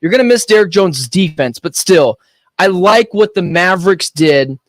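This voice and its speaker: A man co-hosting a sports betting radio show, animated and opinionated with quick joking asides, speaking into a studio microphone. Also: sits high, dry recording.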